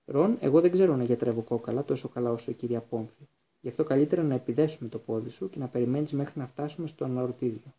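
A man voicing a calm, resolute wizard teacher in a storybook, controlled and matter-of-fact. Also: a little high, slightly rough, heavily acted. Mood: businesslike.

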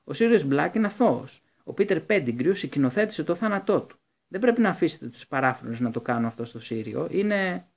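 A man's voice: a little high, expressive, gravelly, pitched above the performer's own voice. A man voicing a desperate, indignant teenage boy wizard who keeps pleading to be heard. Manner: pleading, shouting.

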